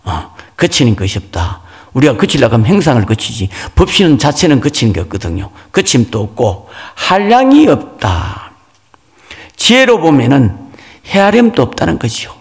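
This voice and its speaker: A man's voice: medium pitch, sing-song, moderate pace, gravelly. A man, a Buddhist monk, gives an explanatory dharma talk on scripture, posing questions and answering them. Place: large reverberant hall. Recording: long hall reverb.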